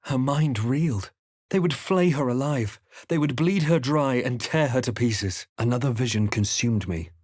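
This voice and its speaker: Man voicing a grave, measured fantasy adventurer. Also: medium pitch, expressive, a little mellow, no room sound, clean studio sound, close to an everyday voice. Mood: grave, brooding. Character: male adventurer hero, grave, watchful, introspective. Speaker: video game player character. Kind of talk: scripted narration and character lines for a fantasy game.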